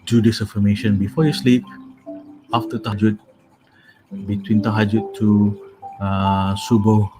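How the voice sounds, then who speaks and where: A man with a calming, soothing voice hosts a casual bedtime talk. He speaks in a low register and very slowly, pausing often on soft fillers. Gentle music plays clearly behind him. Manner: soft-spoken, hesitant with many fillers. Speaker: host. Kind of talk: casual spoken sleep meditation talk.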